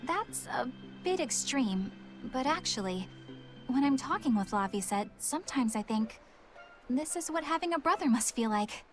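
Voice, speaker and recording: A woman's voice: sits high, animated, moderate pace, faint music behind. An actress playing a prim, sincere young woman exorcist in a video game, polite and formal. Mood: fond, gentle.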